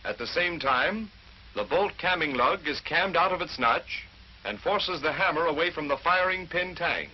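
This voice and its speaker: A man's voice: a little high, lively intonation, moderate pace. An American man narrating a 1940s instructional film in a scripted, methodical, instructive way. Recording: dull, noisy 1940s film soundtrack.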